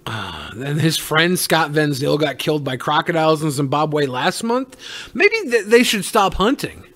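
A man's voice: on the high side, lively intonation, normal speed, fairly bright tone, dry recording. A man hosting a podcast, casually reading news stories and riffing on them with irreverent, jokey swearing. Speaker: podcast host.

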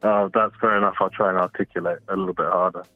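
A man's voice: low-pitched, flat delivery, fast talker, dry recording, soft background music. A man in his thirties who grew up in Singapore, calling in to a podcast, laid-back and slangy, laughing easily. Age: 30s. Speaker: caller. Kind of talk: casual chat by phone. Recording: tinny dry phone line.

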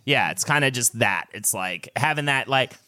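A man's voice: medium pitch, expressive, normal speed, bright, forceful tone, clean tone, dry recording, studio quality. A man co-hosting a podcast in casual comedic chat, joking and exclamatory. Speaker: podcast co-host.

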